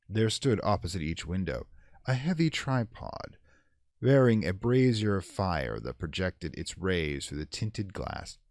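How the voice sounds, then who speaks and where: A man narrating a classic gothic horror tale in a theatrical, measured, formal storytelling style. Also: sits low, darkish tone, no echo, studio quality. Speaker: audiobook narrator.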